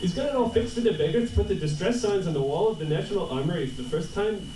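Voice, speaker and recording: A man's voice: high voice, lively intonation, fast, mellow. A man playing a nervous racketeer's henchman with a put-on Canadian accent in a hammy radio drama. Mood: worried. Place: convention panel room. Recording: distant, echoey room with clear noise.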